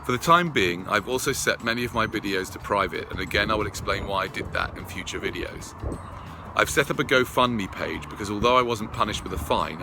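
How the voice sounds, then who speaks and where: A man earnestly reading out a prepared personal update to his followers in a deliberate way. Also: fairly low pitch. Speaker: video creator addressing followers.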